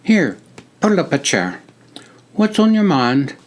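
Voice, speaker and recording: A man voicing a friendly young male army recruit who talks in a crisp, British-sounding, courteous way. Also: fairly high pitch, sing-song, takes it slowly, pitched above the performer's own voice. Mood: genial.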